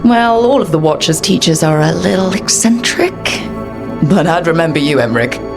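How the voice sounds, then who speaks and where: Wry, low-voiced young necromancer heroine. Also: female voice, expressive, normal speed, gravelly. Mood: wry, friendly. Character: wry young woman necromancer adventurer, friendly and composed. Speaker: player character in a fantasy game. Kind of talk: conversational video game dialogue. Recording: music behind the voice.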